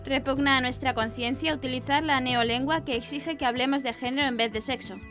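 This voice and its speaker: Woman newsreader with a high voice. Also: steady tone, fairly quick, over music. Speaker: news presenter. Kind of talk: scripted news narration.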